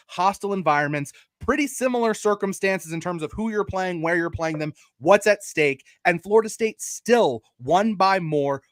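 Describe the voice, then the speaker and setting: Guy on a sports podcast ranting his case, kinda high voice, dry close sound. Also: a bit bright, dry recording. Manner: emphatic, argumentative, casually profane. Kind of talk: opinionated college football debate.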